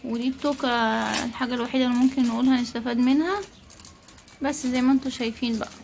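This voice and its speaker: A woman with an Egyptian accent, chatting casually to viewers as she co-hosts a family vlog and prompts a child along, on a noisy home-video recording. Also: fairly high pitch. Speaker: mother co-hosting a family unboxing vlog.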